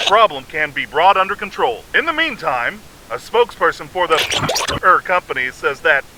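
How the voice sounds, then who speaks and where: A man acting as an earnest TV newsman in a comic dub, formal and urgent. The voice is fairly high with animated intonation, over clear noise of clicks and vehicles. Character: earnest male television news reporter. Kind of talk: news report in a comic dub.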